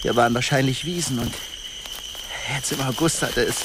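An actor playing a calm, observant expedition companion in a naturalistic science fiction radio drama. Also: male voice, medium pitch, normal speed, natural voice. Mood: matter-of-fact. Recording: loud insect and jungle noise.

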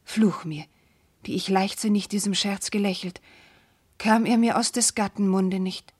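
An actress playing an anguished, devoted princess and wife in a German classical verse radio drama, declaiming solemnly and fervently. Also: female voice, mid-range voice, steady tone, a little slow, a little mellow, breathy edge. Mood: troubled, doubting.

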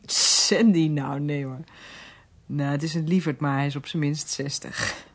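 A woman's voice: sits low, steady tone, unhurried, breathy edge, heavily acted. A woman acting in a radio drama as a friendly, lightly teasing marine biologist, casual and a little coy. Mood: chatty.

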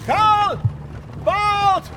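A man playing an excitable old poet-statesman who rants grandly in a radio play. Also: very high voice, extremely slow, very noisy, heavily acted. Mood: agitated.

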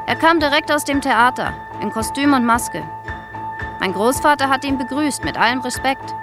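A woman's voice: high voice, moderate pace, music in the background, close to an everyday voice. An actress playing an older East German woman in a radio drama, recollecting in a chatty, self-justifying, insistent way. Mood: proud, reminiscing. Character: older East German woman, wounded, defensive photographer's granddaughter.

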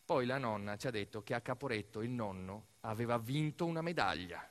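A man's voice: medium pitch, animated, fairly quick. A man performing a theatrical storytelling monologue as actor-narrator, speaking dramatically in short phrases. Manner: dramatic, short phrases, as a boy recalling family. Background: music.

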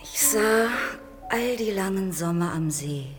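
An actress playing a wistful woman who recalls her past in a reflective, halting radio drama monologue. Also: medium pitch, lively intonation, lightly acted. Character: wistful woman recalling family summers and deportation. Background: music.

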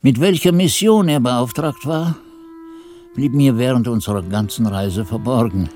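An actor playing a pious, frail old Benedictine monk who narrates a radio drama in solemn, archaic, formal phrases. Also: male voice, mid-range voice, unhurried, darkish tone, soft background music, close to an everyday voice. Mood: reflective. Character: pious aged Benedictine monk, frail chronicler of his youth.

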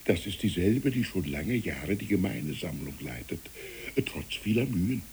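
Kindly village pastor, expressive intonation. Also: male voice, deep voice, moderate pace, slight background noise. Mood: gently reflective. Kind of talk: literary radio play acting.

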